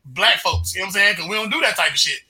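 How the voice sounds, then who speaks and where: Bright, high-voiced man chatting casually. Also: animated, rapid-fire, soft background music. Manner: conversational slang with repeated check-in phrases. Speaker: podcast panelist. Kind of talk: casual chat.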